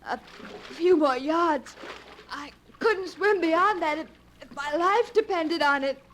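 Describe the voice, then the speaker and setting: High-voiced, slow young woman. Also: fairly bright tone, exaggerated voice. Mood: pleading, exhausted. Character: anxious young woman cousin, breathless and pleading. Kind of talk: 1940s radio acting. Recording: noisy old radio, water splashes.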